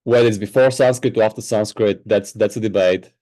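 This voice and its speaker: A Bulgarian-born man with a mellow, warm voice talks as an interview guest. He explains with enthusiasm and lets his voice drop low on side remarks. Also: steady tone, a little fast. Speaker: guest, spiritual teacher. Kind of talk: podcast interview.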